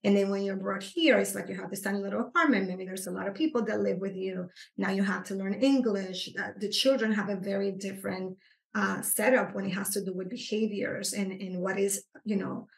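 Woman, gravelly voice, roomy echo, earnest explainer. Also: mid-range voice. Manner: earnest, explanatory, animated when stressing points. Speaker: psychotherapist guest. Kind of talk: conversational interview. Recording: echoey room.

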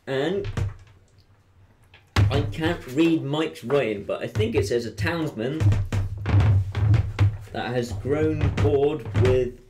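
A man talking casually and jokingly as a player in a tabletop game. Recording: thumping music-like rumble behind.

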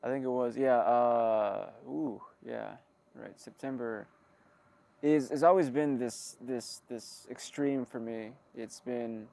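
A man giving a rambling, wry personal monologue, halting and often interrupting himself as he talks. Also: mid-range voice, steady tone, gravelly, dark tone. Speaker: creator of an audio log channel.

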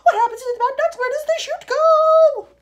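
Storybook mother role: hysterical, stammering panic, very high shrieks. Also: moderate pace. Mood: shrieking panic. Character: hysterical, shrill wealthy mother, panicking over her daughter. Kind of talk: storybook character voice in a read-aloud.

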